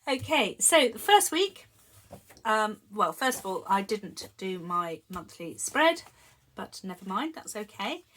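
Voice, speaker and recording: A woman's voice: a little high, a bit bright. A woman in her fifties, slightly wheezy from a chest infection, chatting casually and giggling as she shows her planners. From United Kingdom. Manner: chatty, giggly, with many ums. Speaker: planner vlogger. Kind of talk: casual show-and-tell chat.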